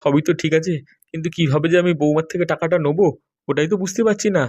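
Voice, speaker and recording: A man's voice: a little high, rapid-fire, darkish tone, breathy edge. A man voicing a reassuring older father pressed for money in a comedy cartoon. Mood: reassuring, hesitant. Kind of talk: amateur comedy cartoon dialogue.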